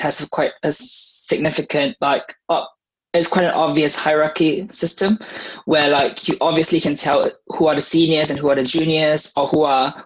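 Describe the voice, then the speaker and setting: A young man from Malaysia, a law student, answering interview questions in a thoughtful, measured way. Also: fairly high pitch, slightly rough, rough recording.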